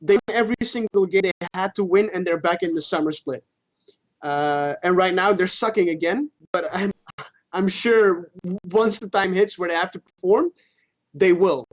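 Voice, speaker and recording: A gaming stream co-host talks casually about esports. The voice sits at a middling pitch, and the manner is informal, with casual swearing. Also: normal speed, rough recording.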